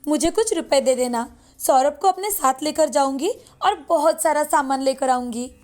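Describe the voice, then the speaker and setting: A woman's voice: high voice, moderate pace, soft background music, lightly acted. A woman voicing a haughty, sneering rich wife and mother from a moral story, condescending and mocking. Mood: breezy, entitled.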